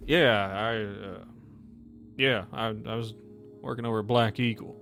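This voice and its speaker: Man playing a grumbling, low-voiced working man. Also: fairly even intonation, measured pace, gravelly, a bit bright, soft background music. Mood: bitter, grumbling. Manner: grumbling, heavily profane. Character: gruff, bitter injured miner, grumbling working man. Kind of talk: in-character tabletop roleplay.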